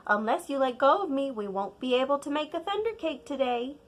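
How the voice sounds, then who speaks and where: Woman playing a steady old farm grandmother, high and emphatic. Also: fairly quick. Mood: steady, firm. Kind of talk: storybook character voice.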